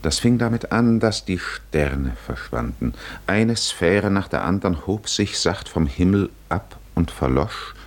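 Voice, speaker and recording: A man narrating a literary 1950s radio drama in a solemn, measured manner with deliberate pauses. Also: low voice, lively intonation.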